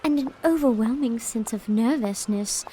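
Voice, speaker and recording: An actress playing a blunt, lively young Englishwoman in an audio drama, talking in a playful, breathless way. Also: a little high, normal speed, a little hiss or hum, lightly acted, poor audio.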